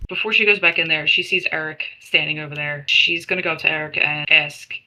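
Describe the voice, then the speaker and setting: A woman's voice: sits low, fairly even intonation, brisk, pushed and bright, a little hiss or hum, rough recording. A woman chatting casually as a player in a tabletop game, saying what her character does.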